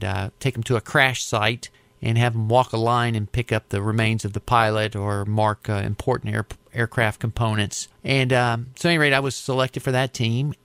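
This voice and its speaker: A middle-aged man with an American accent, telling his experiences in a conversational interview in a reflective, explanatory way with halting phrasing. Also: medium pitch, fairly bright tone, smooth, dry recording. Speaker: interview guest, aviation safety advocate.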